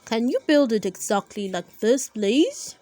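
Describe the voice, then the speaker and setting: A woman's voice: fairly high pitch, big pitch swings, unhurried, mellow, dry recording. A woman voicing a good-humoured, jovial father pig in a children's storybook. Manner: jovial, snorting, chuckling.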